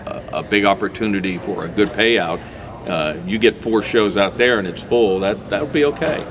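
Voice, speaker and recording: A man's voice: on the low side, normal speed, background noise. An American man hosting a casual community interview in a folksy, chatty, encouraging way. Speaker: talk show host.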